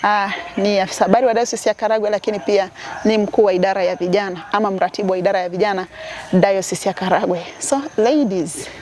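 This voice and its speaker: Young tanzanian woman hosting a church interview, welcoming, middle pitch, a bit of background hiss. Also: fairly even intonation, fast talker, darkish tone. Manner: welcoming, addresses viewers directly. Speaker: diocese communications officer and host. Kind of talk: hosting an interview, switching between Swahili and English.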